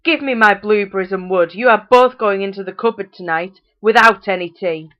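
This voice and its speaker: A woman voicing a cruel, theatrical storybook mother who scolds in a drawn-out, menacing way. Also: mid-range voice, dull audio, close to an everyday voice. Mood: furious. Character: cruel, shrieking mother, false-sweet and menacing.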